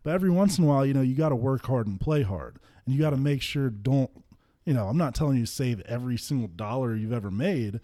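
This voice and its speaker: A man's voice: mid-range voice, lively intonation, fast talker, mellow. A man in his twenties, a football player co-hosting a podcast, chatting casually and telling stories with easy laughter. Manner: laid-back, storytelling, laughs easily. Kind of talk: casual chat.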